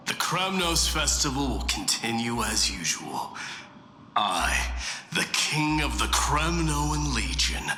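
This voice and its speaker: Proud, deliberate warrior king, echoing. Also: mid-range voice, pushed and bright, a little hiss or hum. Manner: imperious, deliberate, taunting. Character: proud warrior king, demigod of strife, scornful yet honorable. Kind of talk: dramatic video game voice acting. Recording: echoey room sound. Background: faint music.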